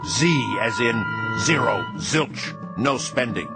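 A man voicing a tough-talking, stingy old grandfather mouse in a storybook, shouting and domineering, with drawn-out emphasis. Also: lowish voice, big pitch swings, vocal fry, over loud music, heavily acted. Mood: scolding, emphatic. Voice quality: shrieking, shouting.

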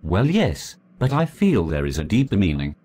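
Man, deep voice, lively intonation, deliberate folk-tale narration. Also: breathy edge. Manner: measured, deliberate storytelling. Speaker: storyteller. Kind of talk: reading a folk legend aloud.

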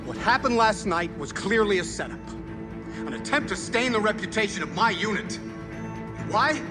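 A proud police task force chief in a video game. His voice is high for a man and his statement emphatic and self-assured, over music. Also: expressive, moderate pace. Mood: defiant. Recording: music behind.

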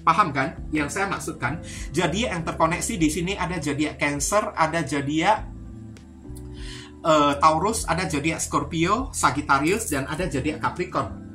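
A woman from Indonesia talks through a tarot reading in a bright, forceful voice that sits fairly low. She is chatty and circles back over her points, often turning to address her viewers. Music plays softly behind her. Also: moderate pace. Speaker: tarot reader. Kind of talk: informal card-reading talk to viewers. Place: indoors at a card table.

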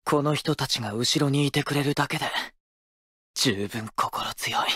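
A man playing a proud, determined young baseball pitcher in a game. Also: moderate pace, husky, no room sound, studio quality. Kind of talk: anime baseball game voice lines. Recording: loud music under the voice.

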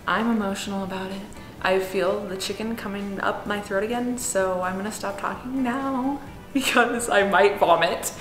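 A woman's voice: medium pitch, moderate pace. A young woman in her twenties talks casually and cheekily as she walks a camera through her unfinished house. Her voice rings around the bare stud walls, and background music plays beneath it. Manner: bubbly, cheeky and profane. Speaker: vlogger giving a house tour. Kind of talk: casual chatty walkthrough. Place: unfinished house under construction, indoors. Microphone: small clip-on mic. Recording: echoey framed-out rooms with music behind.

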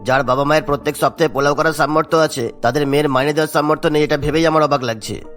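A man voicing a stern, disbelieving school headmaster in a cartoon, talking in a brisk, scornful way. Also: fairly high pitch, fairly even intonation, a bit bright, lightly acted. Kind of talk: cartoon dialogue. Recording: music bed behind the voice. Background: background music.

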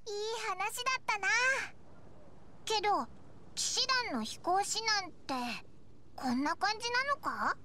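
A woman voicing a tiny, cheeky, curious fairy companion with a squeaky childlike voice in an anime game. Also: big pitch swings, a little slow, bright, forceful tone, a little hiss or hum, exaggerated voice. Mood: reluctant, musing. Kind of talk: Japanese anime game dialogue.

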